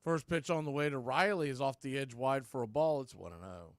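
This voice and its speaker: A man's voice: medium pitch, brisk, no echo, clean studio sound. A man giving live play-by-play commentary on a baseball game as the radio announcer.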